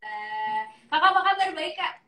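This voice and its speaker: High-voiced woman on an echoey call. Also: expressive, over music. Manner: friendly, quick short replies. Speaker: guest, hijab brand founder. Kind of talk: casual live-stream chat. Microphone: video call.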